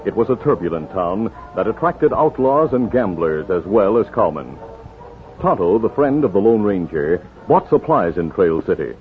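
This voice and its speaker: A dull vintage radio recording with faint music. An American man announces in a declamatory 1950s style, his fairly high voice moving with lively, dramatic swings. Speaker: announcer and narrator.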